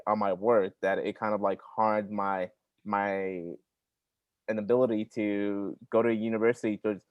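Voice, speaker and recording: A man whose first language is Spanish, sharing personal experiences earnestly in a workshop over a video call, with halting restarts. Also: on the low side, no echo. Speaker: workshop participant, credential student.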